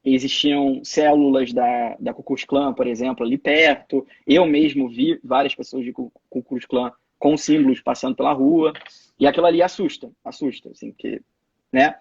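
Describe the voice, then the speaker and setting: A man's voice: mid-range voice, fairly even intonation, normal speed, creaky, breathy edge, dry recording, lo-fi sound. A young Brazilian man in his twenties, a guest telling stories in a casual interview, with many restarts and repeated words. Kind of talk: casual interview over a live video call. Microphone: phone or laptop mic on a live stream.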